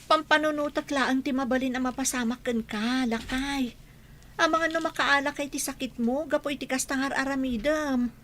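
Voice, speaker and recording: A woman performs an exasperated wife in a radio drama. She scolds in a high, piercing, forceful voice, with faint noise behind it. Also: fairly even intonation, moderate pace. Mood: scolding. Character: nagging, exasperated wife scolding her irresponsible man. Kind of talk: radio drama acting in Ilocano.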